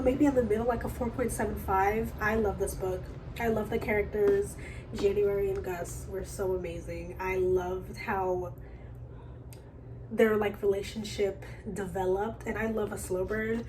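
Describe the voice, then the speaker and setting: A young woman chatting casually and enthusiastically to camera in a reading vlog. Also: mid-range voice. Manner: gushing, enthusiastic. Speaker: book vlogger. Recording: clear ambient background noise.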